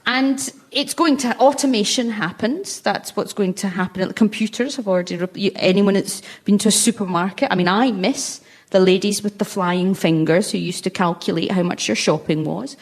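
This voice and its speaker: A woman's voice: mid-range voice, normal speed, hardly any pauses. A woman comedian bantering on a comedy panel show in front of a live audience. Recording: live audience, noisy crowd behind. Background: studio audience laughter.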